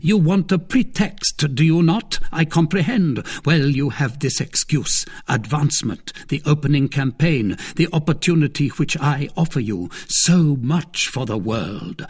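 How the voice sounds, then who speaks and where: A man acts out a powerful, disdainful cardinal from a historical novel. He speaks formally and deliberately, with sweeping pitch and a slightly rough husk, persuading and looking down on his listener. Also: animated, darkish tone. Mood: pressing, stern. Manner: formal, measured, condescending. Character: grand, shrewd cardinal, commanding male statesman, persuasive and disdainful.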